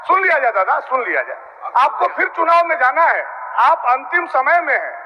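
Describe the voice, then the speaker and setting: A man's voice: very high voice, sing-song, normal speed. A man giving an impassioned, formal parliamentary speech as a legislator, insistent and rhetorical. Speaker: opposition legislator addressing a state assembly. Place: large legislative chamber. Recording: muffled, reverberant assembly hall broadcast.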